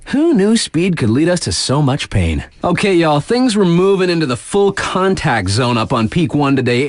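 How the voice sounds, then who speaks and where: An actor playing a laid-back, wry radio DJ at a snowboard resort, chatting in slangy, conversational announcements for a game. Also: male voice, a little high, no echo. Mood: amused, wry.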